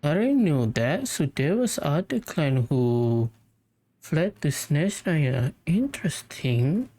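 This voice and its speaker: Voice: medium pitch, very animated, slow pace, warm tone. A game streamer giving casual commentary on gameplay in short, musing reactions.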